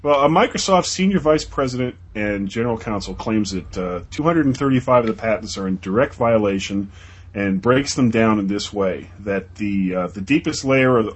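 Co-host, lowish husky man's voice, wry newsreader with a sarcastic edge. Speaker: podcast co-host. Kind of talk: reading news items, casual podcast chat.

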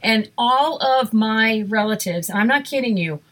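Brief: woman, Massachusetts accent, casual storyteller, lively quoted asides. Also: a little high, normal speed, slight background noise. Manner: animated, storytelling, acts out quotes. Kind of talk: casual chat.

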